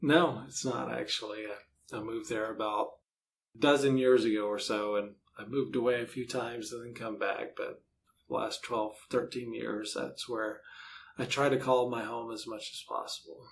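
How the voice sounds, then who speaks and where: A man's voice: medium pitch, fairly even intonation, normal speed. Man, middle-aged, American accent, easygoing, conversational, slight background noise. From United States. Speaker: podcast narrator and author being interviewed. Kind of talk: casual interview chat.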